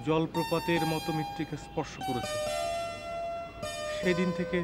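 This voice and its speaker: An actor playing a shy, lovesick young bodybuilder who speaks hesitantly in a TV drama. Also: male voice, a little high, steady tone, loud music behind the voice, close to an everyday voice. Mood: dreamy. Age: young adult. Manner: hesitant, halting. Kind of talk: TV drama dialogue.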